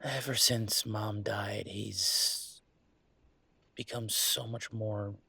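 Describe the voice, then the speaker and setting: A man acts out a troubled young man in an intimate audio drama. His voice is husky and close and dry. He talks slowly and haltingly, rambling and repeating words with raw emotion. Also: on the low side, fairly even intonation, sharp tone, dry recording, full character voice. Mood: weary, hurt. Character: upset young male werewolf streamer venting about his father.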